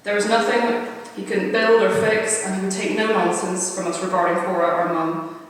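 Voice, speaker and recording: A woman's voice: medium pitch, steady tone, normal speed, a little hiss or hum. Woman reading in a very echoey chapel. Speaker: eulogy reader. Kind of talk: reading a prepared eulogy aloud. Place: crematorium chapel. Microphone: distant camera at the back of the room. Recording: very echoey hall, distant mic.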